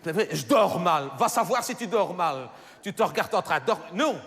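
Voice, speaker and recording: A man's voice: sits high, animated, normal speed, a bit bright. Man doing a gossipy belgian housewife, raised voice, stammering asides, reverberant theater hall. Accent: Belgian French. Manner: rambling, stammering self-corrections. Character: chatty middle-aged Belgian housewife, gossipy complainer. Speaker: comedian. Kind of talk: stand-up character monologue.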